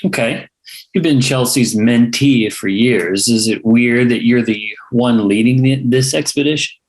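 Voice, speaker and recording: A man acting a young hipster reporter with a mid-pitched voice. He is skeptical and pushy, talking conversationally as in a drama table read. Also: measured pace, natural voice, rough recording. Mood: pressing, skeptical. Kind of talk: conversational script reading.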